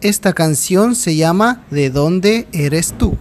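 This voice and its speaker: Slow, clear-spoken male Spanish teacher. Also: high-pitched, lively intonation, a little hiss or hum. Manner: repeats phrases slowly for learners. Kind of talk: slow, clear language-lesson speech.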